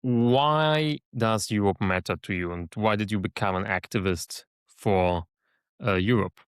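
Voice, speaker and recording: A man hosting a podcast interview, measured and listening, with frequent fillers. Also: on the low side, clean tone, dry recording, studio quality. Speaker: podcast host.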